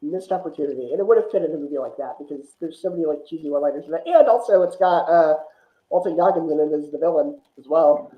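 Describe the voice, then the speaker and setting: A man's voice: a little high, fast. Man, echoey muffled recording, chatty film discussion, casual podcast guest, prone to chuckling. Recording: dull, echoey room sound.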